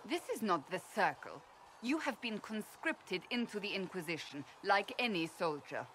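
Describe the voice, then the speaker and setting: A woman's voice: mid-range voice, very animated, moderate pace, fairly bright tone, a little hiss or hum. An actress playing a stern, fair-minded but exasperated female warrior in a video game, blunt, clipped and firm. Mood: stern, curt. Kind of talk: video game character dialogue.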